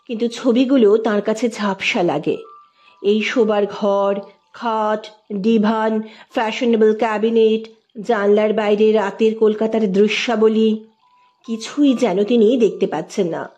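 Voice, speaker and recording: A woman's voice: medium pitch, unhurried, darkish tone. A woman reading literary fiction aloud as a scripted, dramatic audio story narration.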